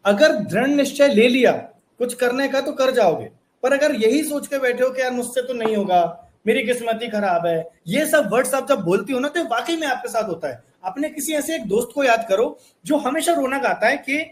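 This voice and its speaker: A man's voice: very high-pitched, expressive, fairly quick. A young man teaching a motivational course lesson, earnest and repetitive, asking his viewers rhetorical questions. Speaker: online course instructor.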